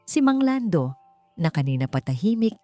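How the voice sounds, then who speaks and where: Low-voiced Filipino woman narrating drama over music. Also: sing-song, normal speed, dark tone, no echo. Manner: emotive, theatrical delivery. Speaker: audiobook narrator. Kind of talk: dramatic scripted story narration.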